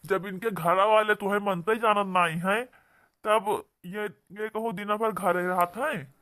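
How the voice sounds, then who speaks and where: Man voicing an indignant high-pitched Awadhi brother-in-law. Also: fairly even intonation, measured pace, fairly bright tone. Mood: angry, distrustful. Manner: scolding, argumentative. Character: indignant, protective young brother-in-law of a village household. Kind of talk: amateur comedy cartoon dialogue.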